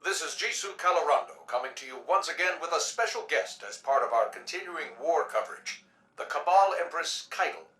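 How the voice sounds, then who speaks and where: Lively, formal newscaster. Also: male voice, a little high, roomy sound, far from the mic, rough recording. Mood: polished, formal. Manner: formal, measured announcer phrasing. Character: polished city news broadcaster, formal and probing. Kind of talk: radio news interview in a video game.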